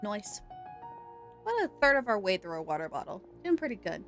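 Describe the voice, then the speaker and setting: A woman's voice: medium pitch, sing-song, a little fast, a bit bright. American woman streaming a game, chatty, lots of "like", faint music behind. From United States. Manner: chatty, with many "like"s. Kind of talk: casual chat while playing.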